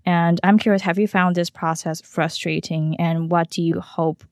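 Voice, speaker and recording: A woman's voice: on the low side, steady tone, moderate pace, no room sound, clean studio sound. A woman from Taiwan hosting an interview, talking in long, affirming, conversational questions. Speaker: podcast host.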